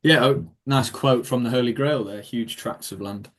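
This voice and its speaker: A man's voice: medium pitch, normal speed, fairly bright tone. A man, a history speaker, answering audience questions in a rambling way with many ums and restarts over a video call. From England. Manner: rambling, self-correcting, with frequent ums and restarts. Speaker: guest speaker on Viking history. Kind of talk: Q&A after a talk.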